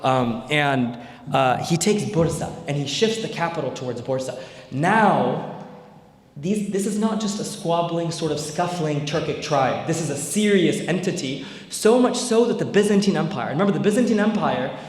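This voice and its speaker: A man's voice: on the high side, lively intonation, normal speed. A young man giving an informal history lecture as an Islamic scholar, talking conversationally with many fillers and tag questions. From Bay Area, United States. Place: large echoey hall. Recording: echoey hall with long reverb.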